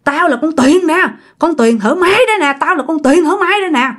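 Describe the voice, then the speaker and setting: A vengeful female ghost, acted by a woman storyteller, with a high, wildly swooping Southern Vietnamese voice. She is jealous and bitter, hammering repeated accusations and curses at the one who betrayed her. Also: sing-song, moderate pace, fairly bright tone, breathy edge, heavily acted. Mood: accusing, anguished. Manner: accusing, repeats phrases insistently, bursts of menacing laughter.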